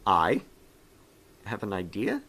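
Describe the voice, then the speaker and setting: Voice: deep voice, wildly swooping pitch, takes it slowly, a bit bright, husky, full character voice. A gamer voicing an eager, awkward young student helper in a visual novel, often hesitant. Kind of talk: acted visual novel dialogue.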